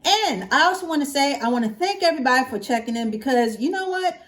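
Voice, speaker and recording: A woman's voice: sits high, animated, fast, fairly bright tone. A woman hosting her own vlog, chatting casually to her viewers and playfully stretching out catchphrases for emphasis. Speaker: hair vlogger hosting her own channel.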